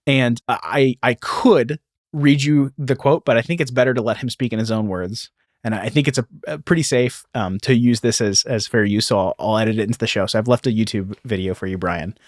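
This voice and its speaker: A man with an average-pitched voice co-hosts a podcast. He explains things casually and corrects himself mid-sentence. The sound is dry, with almost no room on it. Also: brisk, dry recording. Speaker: podcast co-host. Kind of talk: casual explanatory chat with some reading aloud.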